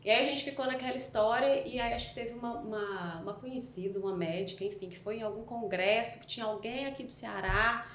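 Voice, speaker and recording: A woman with a softened Mineiro accent tells her story in a casual, wandering way, often correcting herself as she goes. She is recorded in an echoey room, with a faint ambience behind her. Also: on the high side, fairly quick, hardly any pauses, a little hiss or hum. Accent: Mineiro Brazilian Portuguese, softened. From Minas Gerais, Brazil. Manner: rambling, self-correcting storyteller. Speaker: guest, entrepreneur. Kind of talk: casual podcast chat.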